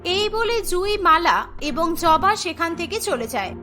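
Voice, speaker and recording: High bright-voiced woman narrating a story. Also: very animated, a little slow. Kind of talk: scripted cartoon story narration. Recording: music bed behind the voice.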